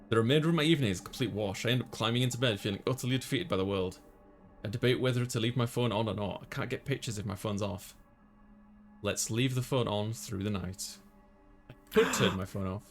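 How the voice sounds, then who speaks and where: A man doing a let's play, reading a visual novel aloud and dropping in casual commentary. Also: lowish voice. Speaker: let's player.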